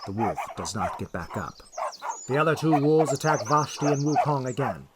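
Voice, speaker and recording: A man's voice: medium pitch, very animated, normal speed, very noisy. A man narrating a scripted fantasy adventure story.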